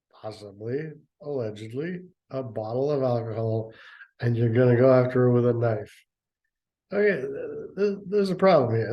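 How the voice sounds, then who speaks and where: A man's voice: mid-range voice, lo-fi sound. A man reading a story aloud and breaking off for sarcastic, rambling asides about it. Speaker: reader and commentator. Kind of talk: sarcastic commentary on a book reading.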